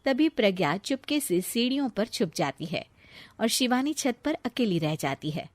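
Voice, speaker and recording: High-voiced woman storyteller, very dry sound. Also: big pitch swings, normal speed, no echo. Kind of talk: scripted Hindi story narration.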